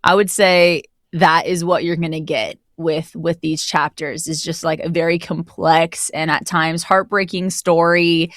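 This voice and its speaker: A woman with a slightly low voice talks casually as a guest in an interview. She explains her thoughts reflectively, in a conversational flow. The recording is dry, with very little room sound. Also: fairly even intonation, a little slow, few pauses, dry recording. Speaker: interview guest, audio drama narrator.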